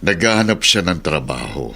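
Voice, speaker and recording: An actor with a Filipino accent playing a calm, practical father in a radio drama, reassuring and matter-of-fact. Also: low-pitched, normal speed, full character voice.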